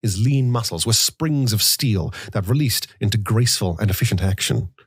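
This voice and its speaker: A man narrating a literary audiobook in a measured, dramatic storytelling manner. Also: on the low side, animated, husky, no echo, studio quality.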